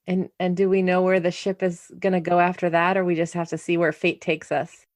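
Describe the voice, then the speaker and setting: A woman's voice: fairly low pitch, level tone, fairly quick, no echo. A woman co-hosting a podcast, asking a guest questions in a casual, friendly way with small hesitations. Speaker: podcast co-host. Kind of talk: casual interview chat.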